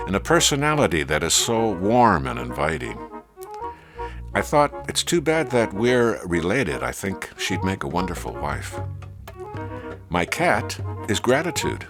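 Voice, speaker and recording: Man, low pitch, lively swooping intonation, humorous reflective narration, measured wry storytelling, music behind. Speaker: author and priest reading own essay.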